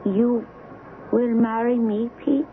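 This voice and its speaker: A woman's voice: fairly high pitch, fairly even intonation, slow pace. An actress playing a devoted young woman in love, in 1970s radio drama style. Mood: tender, joyful. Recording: tinny, noisy old radio broadcast.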